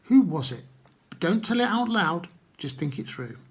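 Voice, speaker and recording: A man telling stories to children and addressing them gently, with simple, unhurried phrasing and guiding questions. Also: high-pitched, sing-song, breathy edge. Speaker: children's Bible storyteller.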